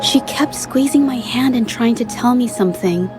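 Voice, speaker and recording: A woman's voice: fairly high pitch, normal speed, lightly acted. A woman voicing a shy, polite young woman in a video game, speaking in a hesitant, apologetic way. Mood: thoughtful, puzzled. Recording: game music behind the voice.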